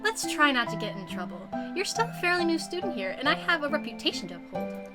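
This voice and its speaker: A woman voicing a sweet, polite high school girl, gentle and a little teasing, in a visual novel read-aloud over music. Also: big pitch swings, fast. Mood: friendly, coaxing. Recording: music playing under the voice.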